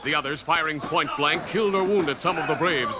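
A man's voice: a little high, brisk. A man narrating a 1950s radio drama from a script in a formal, dramatic storytelling delivery. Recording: noisy vintage radio recording.

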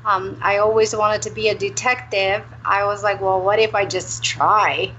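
A woman from Romania, a career transition coach, telling her career story as a guest in a candid, animated way. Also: mid-range voice, normal speed. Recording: dull lo-fi line with faint noise.

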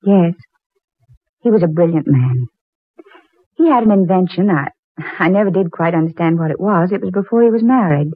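An actress playing a warm, wistful young woman helping an inventor, in the style of 1940s radio drama. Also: lowish voice, moderate pace, pauses often, dry recording, natural voice. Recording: muffled old 1940s radio recording.

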